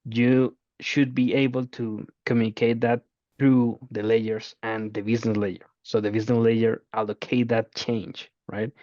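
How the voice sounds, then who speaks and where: Man, halting speech, repeated words, dull muffled sound, dry with no room. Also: mid-range voice, little pitch movement, warm tone, dry recording. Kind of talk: conversational interview answers.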